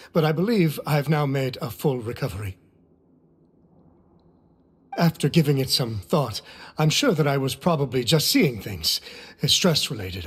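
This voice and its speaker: A man's voice: medium pitch, slightly rough. An actor playing a deferential, anxious working man in a video game, hesitant, polite and apologetic. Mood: apologetic, sheepish. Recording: long hall-like reverb.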